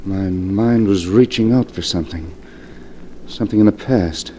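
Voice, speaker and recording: An actor playing a devoted husband drawn to a haunted house, in theatrical old radio drama style. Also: male voice, low-pitched, normal speed, mellow, close to an everyday voice. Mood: distant, dazed. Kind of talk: 1950s radio drama acting. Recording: noisy vintage radio drama recording.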